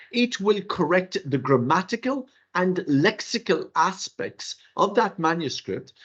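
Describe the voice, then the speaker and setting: An older Irish man whose first language is Irish Gaelic gives a webinar workshop in an animated, rhetorical way, with theatrical asides, heard over a video call. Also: fairly high pitch, slightly rough. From Ireland. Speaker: workshop presenter. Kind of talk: webinar lecture. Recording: dull lo-fi webinar audio.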